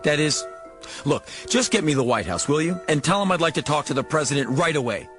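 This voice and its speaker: A man's voice: on the high side, very animated, fairly quick, fairly bright tone, soft background music. An actor playing a brash, slick, pushy American car salesman in a radio drama. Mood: urgent, excited.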